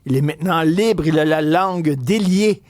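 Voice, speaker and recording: A man's voice: on the high side, normal speed, dry recording. A man with a Québécois accent hosting a talk radio interview, asking probing questions in a conversational way with hesitant restarts. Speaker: radio host.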